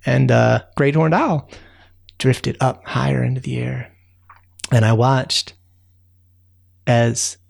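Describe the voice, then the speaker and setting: A man's voice: medium pitch, creaky, a little mellow, breathy edge, full character voice. A man voicing a friendly, chatty raccoon who rambles through a bedtime tale with many fillers. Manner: rambling, folksy, lots of fillers. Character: friendly chatty male raccoon, easygoing storyteller. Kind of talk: bedtime storytelling.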